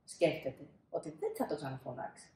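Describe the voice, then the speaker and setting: A woman in her thirties reads a storybook for children. Her pitch moves up and down expressively, and she leans on key words with dramatic pauses. The room gives her voice a noticeable echo. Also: expressive, dark tone. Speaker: storybook reader. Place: small indoor room, seated. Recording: roomy indoor echo.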